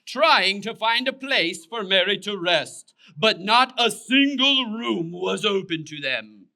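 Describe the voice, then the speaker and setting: High, very bright male storyteller. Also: expressive, takes it slowly, creaky. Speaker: puppet show narrator. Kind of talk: scripted children's storytelling.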